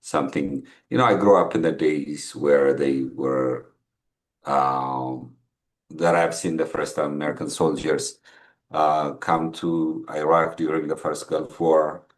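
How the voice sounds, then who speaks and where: A middle-aged man with an Ethiopian accent, talking casually in an interview in a halting, reflective, conversational way. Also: extremely low pitch, fairly even intonation. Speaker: podcast guest, entrepreneur. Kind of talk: casual interview, telling life story.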